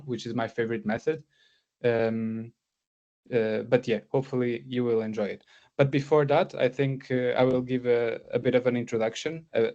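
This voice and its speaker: A man's voice: mid-range voice, darkish tone. A man in his thirties from Portugal gives a guest lecture over a video call, talking with frequent fillers and restarts. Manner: hesitant, many fillers and restarts. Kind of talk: academic lecture. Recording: slightly dull lo-fi sound.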